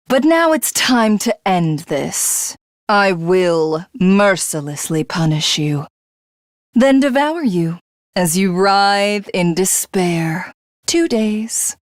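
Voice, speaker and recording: Video game villainess: pompous would-be goddess, formal and theatrical, unhurried pace, very dry recording. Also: female voice, medium pitch, no echo. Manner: pompous, formal, grandiose, condescending. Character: haughty female alien warlord craving godhood.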